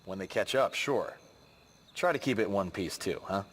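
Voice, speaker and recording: A man's voice: lowish voice, animated, moderate pace, lightly acted. An actor playing a weary, terse American guard who grumbles his way through a gangster game. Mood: matter-of-fact. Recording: clearly noisy, vehicle sounds behind.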